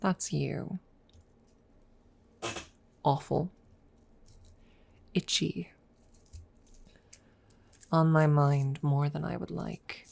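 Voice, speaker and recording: A woman voicing a haughty, centuries-old dragon rival who is secretly smitten, speaking haltingly in a close-miked ASMR roleplay. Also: sits low, steady tone, vocal fry, darkish tone, heavily acted. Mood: irritated, smitten. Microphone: close microphone.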